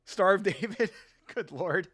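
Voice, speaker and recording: A man's voice: high voice, animated, slow, fairly bright tone, slightly rough, no echo, clean studio sound. A man hosting a casual live watch-along, talking in a rambling, laid-back way, swearing, laughing often and answering viewer comments. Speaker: host.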